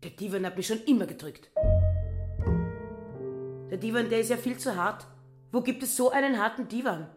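An actress playing a petty, self-righteous housekeeper turned wife in a radio drama, indignant and insistent. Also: female voice, on the high side, normal speed, long pauses, faint music behind, natural voice. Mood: complaining.

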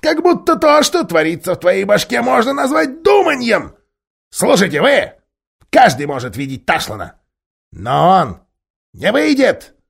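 A scheming talking ape shrieks and mocks in a squeaky high voice that swings wildly up and down. He is bossy and shrill, with a gravelly edge. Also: huge pitch swings, measured pace, heavily acted. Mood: mocking. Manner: shrill, shouting, wheedling and mocking. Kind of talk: audiobook character voice.

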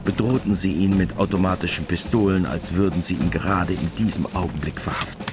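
Music runs behind a low male voice. The man delivers scripted literary narration for a radio play. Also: fairly even intonation, fairly quick.

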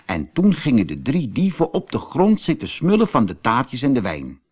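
A man narrating a children's fairy tale audiobook in an expressive storytelling manner. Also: mid-range voice, moderate pace, slightly rough.

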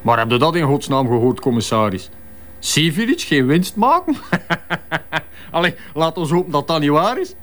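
An actor playing a chatty, jovial doctor in a radio drama, speaking with a Flemish accent and coaxing tag questions. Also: male voice, on the high side, very animated, moderate pace, a bit bright. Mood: confiding, animated. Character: chatty, jovial male doctor, fan of crime novels.

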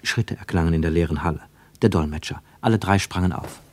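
A man narrating a scripted radio drama in a measured, literary and dramatic manner. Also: sits low, noisy background.

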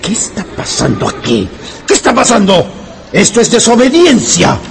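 A man's voice: sits high, wildly swooping pitch, normal speed, vocal fry, background noise, full character voice. An actor playing a stern, indignant male schoolteacher in a radio drama, scolding with an authoritarian manner.

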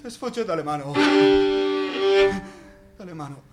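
Working-class Roman, plain dialect, repeated refrains, radio theatre monologue, clear string music behind. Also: male voice, on the high side, animated, unhurried. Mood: bitter, subdued. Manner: plain-spoken, repetitive, dialectal. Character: working-class man lamenting wasted time and lost hopes.

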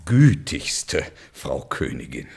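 Servile huntsman for fairy-tale radio drama, deep voice, slow delivery, formal and obsequious. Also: wildly swooping pitch, vocal fry, heavily acted. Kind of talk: radio play acting.

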